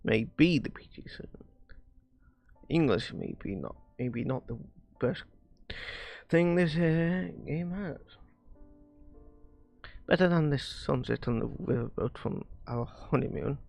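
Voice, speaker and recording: Halting, gravelly man reading slowly aloud. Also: mid-range voice, animated, a little mellow, no echo, faint music behind. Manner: halting, stumbles over words and re-reads lines. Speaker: streamer reading a visual novel aloud. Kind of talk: live read-aloud of game dialogue.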